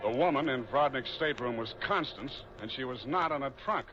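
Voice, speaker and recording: An actor playing a wry, hardboiled private detective who narrates a 1940s radio drama in a deadpan way. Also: male voice, mid-range voice, brisk, close to an everyday voice. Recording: muffled old radio recording with music.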